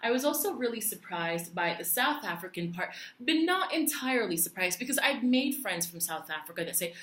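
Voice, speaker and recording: A woman from Puerto Rico vlogging in a chatty, animated way, with lots of fillers and restarts. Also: medium pitch, brisk. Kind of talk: casual talk to camera. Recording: echoey room.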